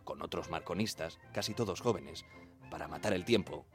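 An actor playing an earnest young radio operator of the 1910s, narrating in the first person in a measured, plain way. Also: male voice, sits low. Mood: measured, reminiscing. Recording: music behind the voice.

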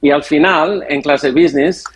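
A man with a foreign accent in non-native Spanish, answering an interview haltingly and searching for words. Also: on the high side, slightly rough. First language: not Spanish. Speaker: interview guest, airline executive.

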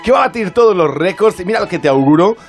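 Man putting on a high-voiced, grandiloquent parody radio DJ. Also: expressive, quick pace. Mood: hyped. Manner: grandiloquent, jokey, hyped announcer talk. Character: excitable parody classical-era radio DJ, adult man. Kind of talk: parody music radio presenting. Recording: music bed behind the voice.

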